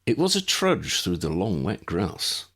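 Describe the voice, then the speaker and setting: A man reading a story aloud in an expressive narrating style, with a gravelly, husky voice. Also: sits low, a little slow. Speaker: audiobook narrator.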